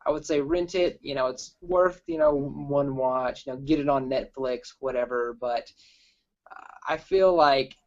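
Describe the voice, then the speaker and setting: A young man chatting casually as an amateur film critic, opinionated and a bit halting in delivery. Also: mid-range voice, muffled recording. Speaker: amateur film critic on a group review show. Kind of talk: casual review chat.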